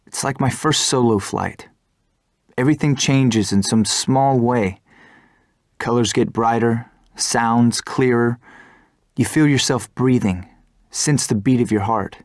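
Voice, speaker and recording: An actor playing an earnest, brooding young fighter pilot in a video game, with reflective, measured delivery. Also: male voice, mid-range voice, dry recording, clean studio sound, natural voice. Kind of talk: scripted cutscene narration and dialogue.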